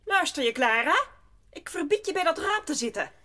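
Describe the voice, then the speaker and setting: An actress playing a strict, stiff governess in an audio drama, with a stern, haughty, commanding manner. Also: female voice, high-pitched, very animated, normal speed, sharp tone, full character voice. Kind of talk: Dutch audio drama acting.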